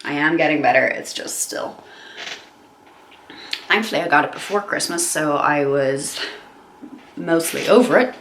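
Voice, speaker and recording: A young woman chats on while she does her makeup, her voice stuffed up and nasal from a cold. She rambles with plenty of ums and likes, in a slightly low register. The room is echoey, with a faint hum underneath. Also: unhurried, long pauses, fairly bright tone. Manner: chatty and rambling, many fillers. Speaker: beauty vlogger. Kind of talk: casual chat while doing makeup.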